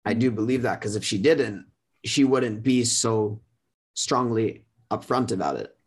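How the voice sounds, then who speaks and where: A man's voice: lowish voice, a little slow, breathy edge. A man sharing a personal experience as a guest, casual but earnest and emphatic. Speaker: guest sharing his relationship story.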